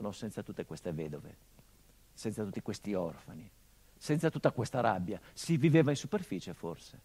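A bitter grieving son, middle-aged, broods low then flares up, stage monologue feel. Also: male voice, husky. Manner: brooding and bitter, swinging from hushed to impassioned. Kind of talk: theatrical monologue broadcast on radio.

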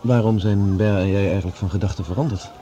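Man playing a professor, radio drama, fast talker, soft dark timbre, earnest, a bit halting. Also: on the low side, muffled recording, a little hiss or hum, natural voice. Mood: questioning. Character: earnest, awkwardly apologetic male professor. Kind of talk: Dutch radio play acting.

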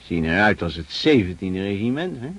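An actor in a Dutch radio play playing a gruff, commanding cavalry officer who barks orders and questions. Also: male voice, low-pitched, sing-song, normal speed, fairly bright tone, full character voice. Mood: stern, commanding. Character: brisk, commanding male cavalry officer, gruff but amused. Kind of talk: Dutch radio drama acting.

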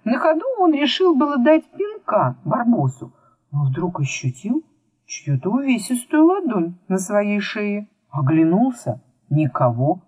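A woman narrates a children's tale in an expressive storytelling style. Her medium-pitched voice moves with lively, swinging intonation, and the recording is close and dry. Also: unhurried, slightly rough, dry recording, muffled recording. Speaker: audiobook narrator.

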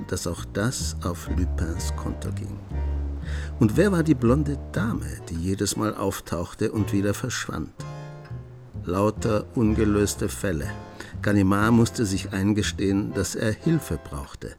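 An actor narrating a radio play and playing a curious writer, with calm, measured storytelling. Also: male voice, fairly low pitch, music in the background. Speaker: narrator and author figure.

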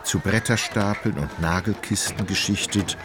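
Deep-voiced, wry literary narrator, a male actor. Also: moderate pace, close to an everyday voice. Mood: measured, detached. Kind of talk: scripted radio drama narration. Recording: clear ambient noise behind voice.

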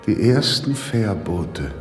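Very low, brooding man, echoing. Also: very animated, takes it slowly, a little mellow, faint music behind. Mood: solemn, musing. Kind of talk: slow radio drama monologue. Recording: reverberant echoey space with church bells. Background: church bells.

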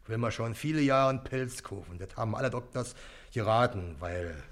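A man's voice: medium pitch, a little fast, close to an everyday voice. An actor playing a wheedling, middle-aged river boatman and shady dealer in a radio play, speaking colloquial Berlin dialect. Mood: self-pitying. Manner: colloquial, grumbling, insistent bargaining.

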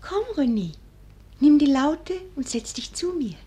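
An actress playing a coquettish, softly commanding young countess in a 1940s German radio drama, speaking in a coaxing, theatrical way. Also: female voice, high-pitched, sing-song, unhurried. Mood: coy, coaxing.

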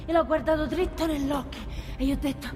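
High-pitched fiery Roman woman played by an actress. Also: fairly even intonation, fast, lightly acted. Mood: defiant anger. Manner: blunt, defiant, colloquial. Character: fiery, stubborn working-class woman, desperate hostage taker. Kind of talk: theatrical monologue. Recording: hall reverb, faint music behind.

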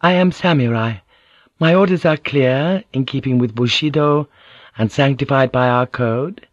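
A man's voice: mid-range voice, measured pace, dry recording, highs cut off, lightly acted. Man voicing a formal, unyielding noble samurai lady. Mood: formal, resolute. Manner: formal, courteous but commanding.

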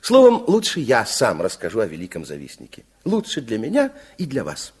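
A man's voice: on the high side, a little mellow, husky, exaggerated voice. A man narrating a radio fairy tale with theatrical, dramatic storytelling.

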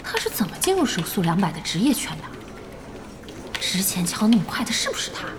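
An actress playing a curious, puzzled young woman musing to herself in a hushed audio drama inner monologue. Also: medium pitch, sing-song, fairly quick, noisy background, close to an everyday voice.

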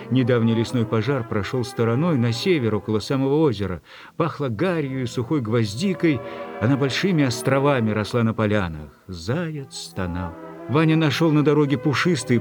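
Narrator casting: man, mid-range voice, measured expressive literary reading, faint music behind. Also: medium pitch. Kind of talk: scripted literary story narration.

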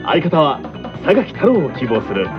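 A man hosting a radio talk show, reading out quiz questions in a lively, laughing manner. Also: fairly high pitch, a little slow. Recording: dull lo-fi radio recording with music behind.

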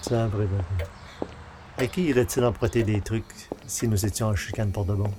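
A man's voice: fairly low pitch, steady tone, normal speed, noisy background, close to an everyday voice. A man playing a polite, mildly sceptical newspaper columnist, a hesitant straight man with a Quebec accent in a radio comedy. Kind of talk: 1940s radio comedy acting.

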